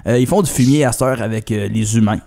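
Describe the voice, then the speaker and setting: A man's voice: medium pitch, fast talker, vocal fry, warm tone. Casting: male podcast co-host, jokey, rambles off topic. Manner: joking, rambling tangents. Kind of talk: casual podcast chat.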